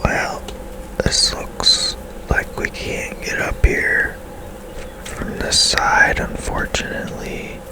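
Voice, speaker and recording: A game host giving ASMR gameplay commentary in a soft, breathy whisper, gentle and unhurried with pauses.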